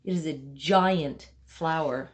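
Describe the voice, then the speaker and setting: A woman reading a children's picture book aloud, with dramatic emphasis and deliberate pauses for young listeners. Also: low voice, a little hiss or hum. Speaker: storybook reader.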